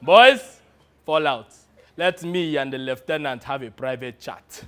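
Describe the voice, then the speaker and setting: A man voicing a pompous African warlord general with an exaggerated African accent, declamatory, drawn-out and theatrical. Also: mid-range voice, noisy background, lightly acted. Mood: commanding. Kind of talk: exaggerated comic character acting.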